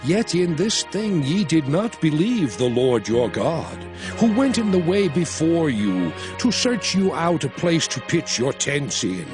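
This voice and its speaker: Moses, slow and solemn. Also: male voice, fairly high pitch. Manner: slow, solemn, dramatic recounting. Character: Moses, aged solemn male prophet and leader. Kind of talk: dramatized scripture narration. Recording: studio voice over music. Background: music.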